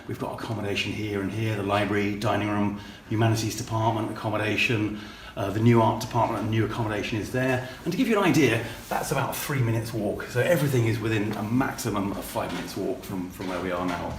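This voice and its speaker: A man leading a walking tour through school buildings, talking in a relaxed way with fillers and repeats. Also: fairly low pitch, quick pace, few pauses, a little hiss or hum. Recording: roomy, mic at a distance.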